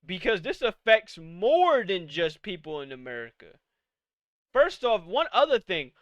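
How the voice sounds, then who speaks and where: A young man in his late twenties with a high, bright, crisp voice, ranting on a live stream. He swears freely and fires off exasperated rhetorical questions. The sound is close and dry, with no room in it. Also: sing-song, unhurried, no echo. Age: 20s. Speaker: podcast host. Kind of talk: live-streamed casual rant.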